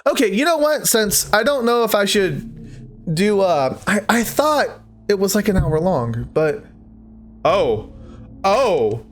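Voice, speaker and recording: A man hosting a casual let's-play, reacting out loud to the game and chatting to his viewers. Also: sits high, big pitch swings, takes it slowly. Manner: reactive, interjecting, talking to viewers. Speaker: gaming YouTuber host. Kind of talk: casual let's-play commentary, reading game text aloud.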